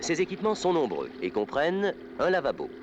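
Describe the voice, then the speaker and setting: A man narrating an instructional film in a formal, scripted, measured documentary style. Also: on the high side, huge pitch swings, lo-fi sound, background noise. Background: music.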